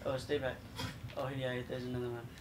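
A man with a voice worn from yelling, giving quick calls and swearing while playing a co-op shooter. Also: mid-range voice, flat delivery. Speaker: co-op gaming partner. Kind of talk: casual gaming chat. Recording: noisy room recording.

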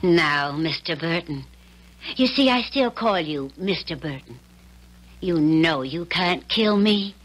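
A woman in a 1970s radio drama playing a bold, shrewd stage actress of the Confederate era, poised and deliberate in her delivery. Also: deep voice, lively intonation, full character voice. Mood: coolly bold. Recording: vintage radio drama with music.

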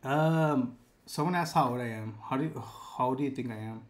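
A man's voice: mid-range voice, brisk. Chill twenties guy on a live stream, says bro a lot, just talking to chat from his desk. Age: 20s. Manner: laid-back, drops "bro" a lot, reads chat aloud. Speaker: livestreamer. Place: dark room with gaming chair and lights.

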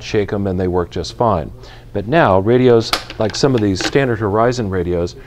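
A man in his fifties, filmed in a large indoor store with a lapel mic, explaining equipment to camera in a clear, measured, practical way. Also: on the low side, a little mellow. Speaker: retail company spokesperson presenting boating gear.

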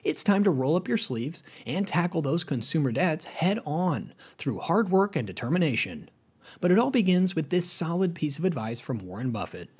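Husky American male voice-over for a finance explainer; animated delivery, casual, direct to viewer. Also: a little high, normal speed. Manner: chatty, addresses viewers as guys, lively rises. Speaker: narrator of a finance explainer channel. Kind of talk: scripted voice-over narration.

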